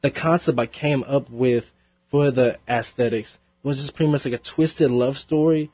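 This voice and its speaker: A man's voice: medium pitch, normal speed, dry recording. Young rapper guy chatting on college radio, laughs a lot, dry studio, kinda dull sound. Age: young adult. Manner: laid-back, laughs often, rambling with fillers. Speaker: rapper guest. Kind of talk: casual interview chat.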